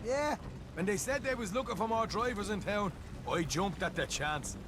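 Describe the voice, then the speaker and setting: An actor playing an affable Irish outlaw in a video game, rambling and stammering in a chummy way. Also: male voice, high voice, expressive, moderate pace, noisy background. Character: affable Irish outlaw posing as bumbling delivery driver. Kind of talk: naturalistic video game cutscene acting.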